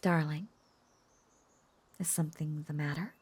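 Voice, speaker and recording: A woman acts out a possessive yandere witch in an intimate audio roleplay. Her voice is low-leaning and husky, slipping into breathy half-whispers. She takes her time, coaxing and cooing with sweetly unhinged devotion. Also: steady tone, measured pace, heavily acted. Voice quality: soft, breathy.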